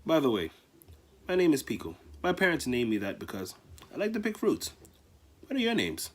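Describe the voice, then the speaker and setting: A man's voice: mid-range voice, wildly swooping pitch, normal speed. A man voicing a cheeky, curious young male monkey in a storybook.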